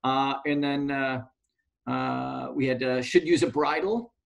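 Relaxed man moderating a webinar. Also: mid-range voice, measured pace, creaky, muffled recording. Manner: relaxed, reads out audience answers with fillers.

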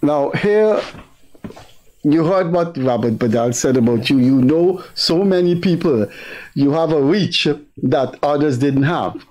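An older Guyanese man hosts a political talk show, speaking slowly and deliberately. His voice sits a little high for a man. He puts questions to a guest in a studio. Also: lively intonation, darkish tone, low-quality recording. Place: TV studio with virtual set.

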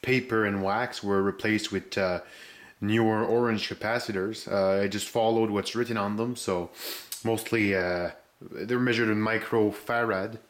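A man giving a casual hands-on walkthrough, speaking haltingly as he searches for words, recorded indoors on a handheld camera. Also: fairly low pitch, fairly even intonation. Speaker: hobbyist restorer presenting a rebuilt radio. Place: indoors, room with concrete floor. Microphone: handheld camera's microphone.

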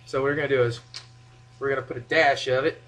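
A man's voice: mid-range voice, fairly even intonation, fast, fairly bright tone. Young male home cook, goofy and chatty, talking to a camera across a small kitchen with faint background noise. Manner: goofy, rambling, talks to camera. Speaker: home cooking vlogger. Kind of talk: casual cooking demo, joking asides. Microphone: camera mic from a few feet away.

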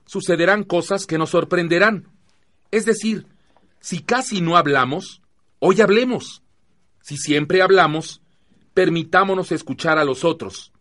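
An actor playing an earnest, encouraging youth workshop leader in a radio drama, speaking in a measured, didactic way. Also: male voice, on the high side, fairly bright tone. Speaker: group facilitator and narrator. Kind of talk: radio drama acting, scripted narration.

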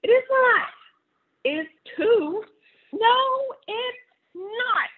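A woman's voice: very high-pitched, sing-song, slow pace, pitched above the performer's own voice, exaggerated voice. A woman voicing a little girl who insists that a toy is hers, in a storybook reading. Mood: insistent. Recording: tinny phone-like sound.

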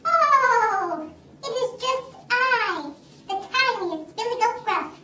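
Smallest billy goat, very high, pleading, bouncy. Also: female voice, expressive, slow, full character voice. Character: tiny timid young billy goat.

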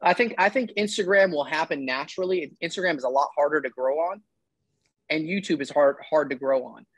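A man's voice: fairly high pitch, fairly quick, a bit bright, husky, no room sound. A man in his thirties giving casual, emphatic and blunt advice as a coach on a one-on-one video call. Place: indoor room, video call.

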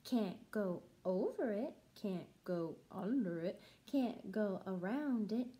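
A young woman, a music teacher, reading a children's picture book aloud in a rhythmic, sing-song, deliberate chant. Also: mid-range voice, expressive, dark tone. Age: young adult. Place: small room at home, indoors.

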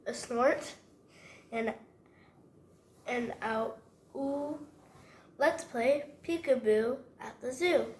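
A female reader going through a toddler picture book aloud, haltingly, stumbling over the harder words. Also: a little high. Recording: roomy echo, clear background noise.

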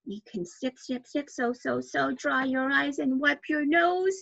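High-voiced woman reading a storybook slowly. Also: vocal fry, no echo. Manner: expressive, deliberate storytelling with drawn-out phrases. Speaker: librarian storytime reader. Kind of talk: reading a children's picture book aloud. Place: small indoor room with bookshelves. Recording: dull, lo-fi webcam audio.

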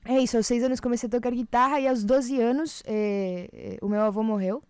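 A woman's voice: fairly high pitch, a little fast, darkish tone, clear, resonant tone, no echo. A woman in her twenties from Colombia, speaking Portuguese with a Brazilian accent as a guest in a casual radio studio interview, often laughing a little and sometimes searching for words. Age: 20s. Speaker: guest singer. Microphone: studio mic with headphones.

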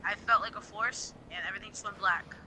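Fast, sharp-voiced young male gamer. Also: high-pitched, steady tone, rough recording. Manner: repeats himself insistently. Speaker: gamer roleplaying online. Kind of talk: improvised game roleplay chat. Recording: faint background game noise.

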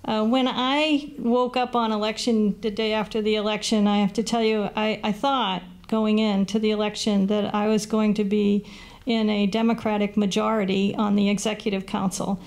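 Woman, 60s, political candidate, gravelly voice, earnest and measured, table mic, small meeting room. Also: mid-range voice, little pitch movement, darkish tone. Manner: earnest, measured, with a brief laugh at running out of time. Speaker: political candidate, incumbent councilor. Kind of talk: forum closing statement. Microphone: table microphone on a stand.

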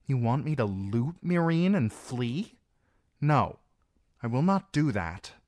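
A man's voice: medium pitch, sing-song, slow, darkish tone, no room sound, clean studio sound, voice pushed up. A man voicing a young queen who speaks firmly and with command. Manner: firm, commanding.

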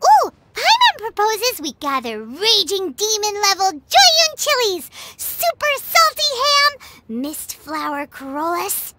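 A woman voicing a tiny, excitable fairy companion girl in a fantasy game, with a squeaky, childlike, perky delivery. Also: slow, heavy background noise, exaggerated voice. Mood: excited. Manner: perky, cheeky, speaks of herself in third person. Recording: loud music under the voice.

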